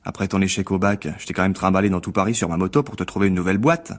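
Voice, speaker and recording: An actor playing a bitter, sarcastic failed actor turned critic in a French radio drama, needling with false cheer. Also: male voice, deep voice, racing, close to an everyday voice. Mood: resentful.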